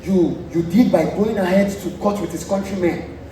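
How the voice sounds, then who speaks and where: A man's voice: high-pitched, normal speed, warm tone, close to an everyday voice. A Nigerian man acting a boastful, teasing lord in a stage play, with exclamatory delivery. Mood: argumentative. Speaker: student stage actor. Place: large indoor theatre stage. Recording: very echoey theatre, distant, clear noise.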